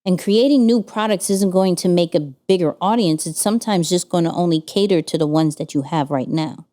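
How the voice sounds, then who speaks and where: A woman's voice: on the low side, fairly quick, mellow. A woman co-hosting a casual business podcast, talking energetically and piling up rhetorical questions. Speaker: podcast co-host.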